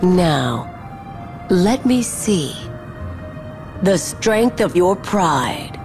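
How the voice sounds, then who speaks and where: A woman's voice: fairly low pitch, very animated, drawn-out words, music in the background, full character voice. A woman playing a menacing, cold, taunting sorceress in a video game, speaking in an imperious, theatrical manner. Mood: mocking, imperious.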